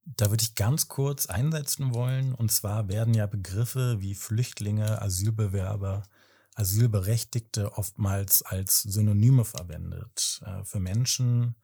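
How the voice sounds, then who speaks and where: A man in his thirties from Berlin, co-hosting a podcast and explaining things in a measured, slightly formal way. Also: lowish voice, gravelly, warm tone, husky. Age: 30s. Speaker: podcast co-host. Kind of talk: casual podcast talk.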